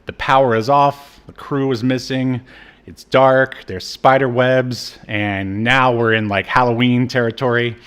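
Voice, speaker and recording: A man co-hosting a podcast, casually recapping a TV episode in a halting, informal way. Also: medium pitch, steady tone, a bit bright, clean tone. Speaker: podcast co-host.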